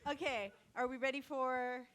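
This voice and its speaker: A woman's voice: high voice, fairly even intonation, normal speed, a bit bright. A woman addressing a convention room as a panelist in casual, playful banter.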